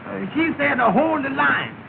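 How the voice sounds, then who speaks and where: A timid young cab driver with a very high, gravelly voice who stumbles through restarts and uh's. It is heard on a thin, phone-like 1930 film soundtrack with loud hiss. The acting is broad 1930s screen comedy. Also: male voice, lively intonation, moderate pace, exaggerated voice. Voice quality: high, creaky voice. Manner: hesitant, full of uh's and restarts.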